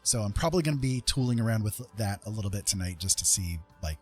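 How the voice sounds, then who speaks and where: A man's voice: lowish voice, expressive, quick pace. A man chatting casually with livestream viewers in a rambling, conversational way. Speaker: livestream host. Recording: faint music behind the voice. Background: music.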